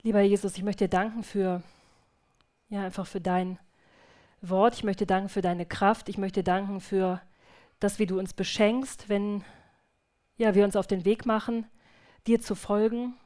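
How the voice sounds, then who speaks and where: A woman's voice: medium pitch, little pitch movement, measured pace, pauses often, mellow. Earnest woman giving a church testimony. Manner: earnest and reflective, with hesitant restarts. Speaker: returning missionary speaking in a church service. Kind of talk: church testimony followed by spoken prayer. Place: church service.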